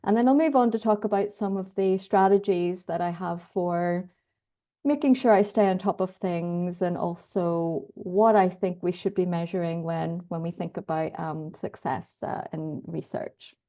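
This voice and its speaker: A woman's voice: fairly low pitch, moderate pace. Northern Irish woman, slightly dull audio. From Northern Ireland. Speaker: guest speaker, academic. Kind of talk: informal personal presentation.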